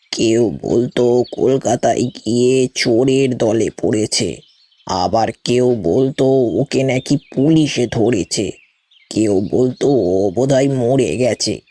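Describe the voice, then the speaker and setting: A man playing a village elder near ninety in an audio story, wistful and halting as he reminisces, repeating his phrases. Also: medium pitch, mellow, no room sound.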